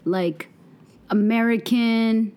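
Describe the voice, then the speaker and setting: A woman interviewing on a podcast, chatty and encouraging, with quick interjections. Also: on the high side, fairly even intonation, a little mellow. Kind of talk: casual podcast conversation.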